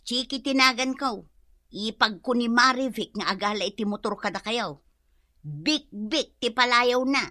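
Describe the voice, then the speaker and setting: An actress playing a nosy, spiteful and bossy sister-in-law in a comedic radio drama, with a pushy, scolding manner. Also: female voice, fairly high pitch, a little slow, bright, forceful tone, no echo, clean studio sound. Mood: bossy, insistent.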